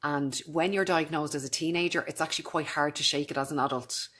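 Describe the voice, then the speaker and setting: Low-voiced woman, earnest and direct. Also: steady tone, fairly quick, a bit bright. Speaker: vlogger. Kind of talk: casual talk to camera.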